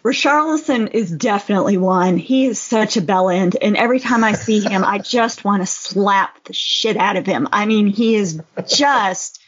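A woman's voice: medium pitch, normal speed, hardly any pauses. Woman chatting bluntly on lo-fi podcast. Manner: informal, blunt, frequent "you know". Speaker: podcast co-host. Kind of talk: casual football podcast chat.

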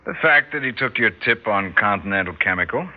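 A man's voice: lowish voice, animated, a little fast, natural voice. An actor with an American accent playing a skeptical, practical suburban husband and father in a radio drama. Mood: matter-of-fact. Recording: slightly dull radio recording.

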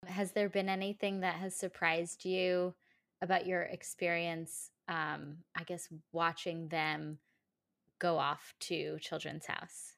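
Woman, podcast host, lowish voice, dry close sound, gentle conversational questions. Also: level tone, slow, gravelly, dry recording. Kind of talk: conversational interviewing.

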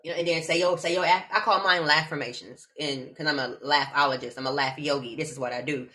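A woman from Omaha, a laughter yoga teacher, chatting casually in an animated, slangy way. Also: low-pitched, fast. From Omaha, Nebraska. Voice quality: animated, slangy, spiritual talk with bursts of laughter. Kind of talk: casual chat.

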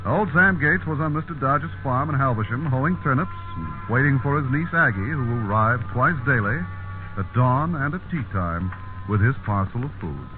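An actor playing a wry, modest war correspondent, narrating conversationally in a 1950s radio drama. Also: male voice, medium pitch, lively intonation, moderate pace, music in the background, natural voice. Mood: wry storytelling. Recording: old tinny radio broadcast recording.

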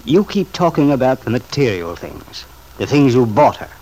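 Earnest uncle in an old radio soap, mid-pitched, moralizing but restrained. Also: male voice, big pitch swings, moderate pace, dark tone, low-quality recording. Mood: accusing. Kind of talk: old-time radio drama acting.

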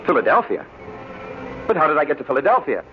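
An American actor playing a bewildered, earnest man recovering from amnesia in an old-time radio drama, with dramatic, halting delivery. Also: fairly high pitch, very animated, faint music behind. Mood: bewildered, distressed. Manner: dramatic, halting, repeating words in disbelief. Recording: noisy, dull old radio recording.

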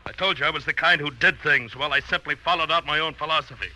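A man's voice: a little high, fast, sharp tone, poor audio. An actor playing a doomed, bitterly resigned man in a 1940s radio drama, speaking with grave, accusing deliberation on a vintage broadcast. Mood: agitated, bitter. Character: doomed, bitterly resigned man facing his blackmailing friend.

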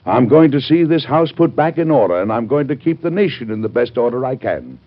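A man's voice: mid-range voice, very animated, brisk. An American man acting an earnest, formal, beleaguered but resolute president in an old-time radio drama. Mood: defiant. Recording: muffled old radio show recording.

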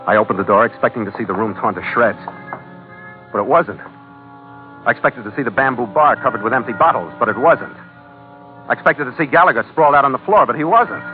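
A man's voice: mid-range voice, lively intonation, a little fast, pauses often, close to an everyday voice. An actor playing a tough, wry American sea captain, narrating his adventure tersely in a vintage radio drama. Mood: suspenseful. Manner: terse, wry, hardboiled storytelling. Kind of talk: 1940s-50s radio adventure narration and acting. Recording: tinny vintage radio recording with music.

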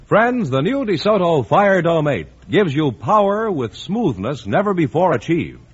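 A man's voice: on the high side, sing-song, unhurried, breathy edge. A man announcing a scripted radio commercial in an upbeat, persuasive way. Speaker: sponsor announcer. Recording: muffled 1950s radio broadcast.

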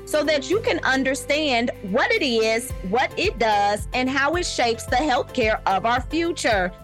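A woman's voice: sits high, animated, unhurried, faint music behind. Upbeat woman podcast host. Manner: upbeat, folksy, says "y'all" a lot. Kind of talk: casual podcast interview.

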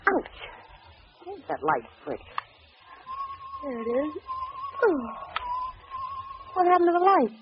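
A woman's voice: extremely high pitch, huge pitch swings, takes it slowly, pauses often, exaggerated voice. An actress playing a spirited woman companion of a crime fighter, in 1940s radio mystery style. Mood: terrified. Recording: dull, noisy old radio recording.

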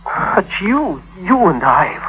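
An actor playing a nervous, lovestruck Victorian tutor in an old radio drama, earnest and flustered. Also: male voice, sits high, sing-song, slow pace, husky, heavily acted. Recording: dull vintage radio recording.

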